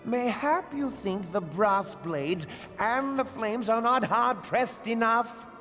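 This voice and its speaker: An actor playing a haughty, miserly merchant lord in a fantasy game, pompous, condescending and formal in speech. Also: male voice, extremely high pitch, takes it slowly, bright, forceful tone, long reverb tail, soft background music, exaggerated voice. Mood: ominous, lecturing. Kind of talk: theatrical fantasy game cutscene acting.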